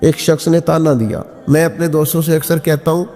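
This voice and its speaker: High-pitched Punjabi-accented male preacher, echoing hall. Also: steady tone, mellow. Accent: Pakistani Punjabi. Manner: impassioned, rhetorical, slow emphatic delivery with swelling exclamations. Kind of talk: sermon with storytelling and recited Punjabi verse. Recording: echoey hall reverb with music behind. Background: background music.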